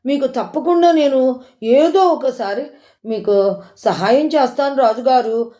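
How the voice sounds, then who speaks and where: A woman's voice: high voice, measured pace, dark tone. A woman voicing a tiny, polite young female mouse in a coaxing, eager storybook manner. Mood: pleading. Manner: coaxing, cutesy, repeatedly deferential.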